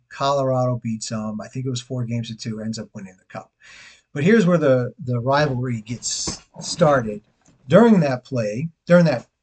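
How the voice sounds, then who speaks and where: A man's voice: mid-range voice, slightly rough. A man in his forties from Detroit, chatting casually to camera as a travel vlogger, rambling with restarts and the odd chuckle. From Detroit, USA. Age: 40s.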